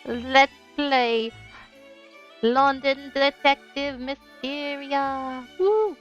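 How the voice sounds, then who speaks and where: A woman's voice: high-pitched, very slow, highs cut off. A woman with a bubbly, playful manner, talking as a game streamer on a livestream. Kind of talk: casual livestream chat with read-aloud game narration. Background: game music.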